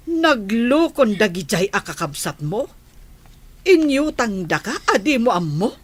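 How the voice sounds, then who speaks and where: A woman's voice: a little high, big pitch swings, slow pace, breathy edge, heavily acted. A woman playing a chatty, probing village auntie in a radio drama.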